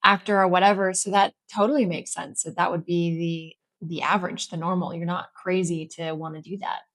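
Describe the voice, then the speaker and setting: A woman's voice: lowish voice, little pitch movement, fairly quick, fairly bright tone, no room sound, poor audio. A woman hosting an interview, who talks in a casual, upbeat way and affirms her guest often. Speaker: interview host.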